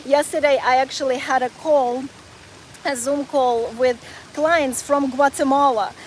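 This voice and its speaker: A woman's voice: sits high, steady tone, unhurried, slight background noise, poor audio. A woman in her forties, born in Ukraine and raised in North Carolina, chatting enthusiastically to viewers on a live walking travel stream, often repeating words as she goes. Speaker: travel vlogger. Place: outdoors in a city park and square.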